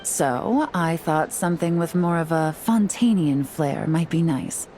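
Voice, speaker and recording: A woman playing a confident, composed secret agent in a game, speaking with smooth assurance. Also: sits low, unhurried, warm tone, slight background noise. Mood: coy, assured. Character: poised, confident adult female secret agent and teahouse owner. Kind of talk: game character voice acting. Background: music.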